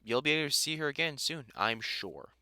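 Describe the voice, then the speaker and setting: A man playing a gruff older vampire hunter in a roleplay audio drama, a fond, teasing mentor with dry sarcasm who grows halting when sentimental. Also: on the high side, very animated, pushed and bright, no echo, exaggerated voice. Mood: warm teasing.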